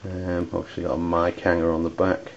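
A man with an English accent giving an unscripted product review in a hesitant, halting way. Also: sits low, monotone. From United Kingdom. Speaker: amateur radio reviewer. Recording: dull lo-fi audio with background noise.

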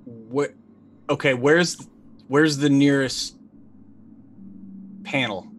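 A man in his thirties chatting casually and jokily as a tabletop game player, animated and stammering as he improvises. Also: medium pitch, very slow. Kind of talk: casual, joking game chat. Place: indoor room on a video call. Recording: faint noise, ambient sound behind.

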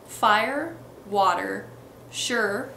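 A woman's voice: mid-range voice, very slow, vocal fry, pushed and bright. Young woman, light Midwestern accent, rambling vlog chat, webcam in a roomy bedroom, faint ambient hiss. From Texas and Missouri, US. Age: young adult. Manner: chatty, many ums and restarts. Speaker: vlogger. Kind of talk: casual accent tag vlog. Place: bedroom, indoors.

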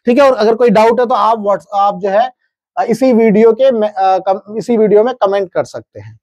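A man's voice: high-pitched, moderate pace, darkish tone. An Indian man in his thirties presents an explanatory lesson in a methodical way. He reads through figures step by step and checks in with viewers, and the sound is dry, with little room echo. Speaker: presenter explaining government wage notices. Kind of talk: explanatory lecture, reading out figures and tables. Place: indoor studio, standing at a large digital screen.

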